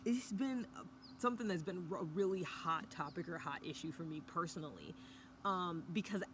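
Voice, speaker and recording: A woman talking casually to camera in a hushed early-morning voice, recording outdoors by a fire pit with a mountain view. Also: fairly low pitch, expressive, normal speed. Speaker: vlogger. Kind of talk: casual reflective talk to camera. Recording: outdoor ambience with background noise.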